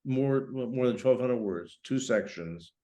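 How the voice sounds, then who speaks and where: A man speaking as a writer in a casual literary conversation, halting and reflective, repeating phrases as he thinks. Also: mid-range voice, warm tone. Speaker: writer, guest.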